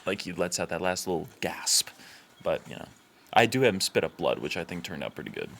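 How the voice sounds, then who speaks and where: A man's voice: low voice, very animated, a little fast, creaky, fairly bright tone. A man giving casual, unscripted commentary as a filmmaker, with a blunt, informal, sweary way of talking.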